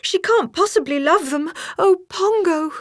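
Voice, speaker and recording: A woman's voice: very high voice, unhurried, dry recording, studio quality, voice pushed up. A woman voicing an anxious, protective mother dog in a storybook, pleading and crying out. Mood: distraught.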